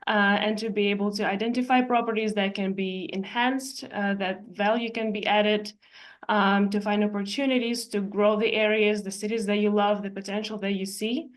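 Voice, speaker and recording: A woman's voice: medium pitch, steady tone. Woman presenting. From Ukraine. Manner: measured, explanatory, with frequent hesitations. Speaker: architect and real estate investor presenting. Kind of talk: presentation walking through slides.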